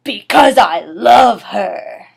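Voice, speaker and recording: A woman voicing a melodramatic, indignant big man, a wizard groundskeeper, in a story reading, with loud, drawn-out declamation. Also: medium pitch, lively intonation, creaky, slightly rough, heavily acted. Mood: dramatic declaring.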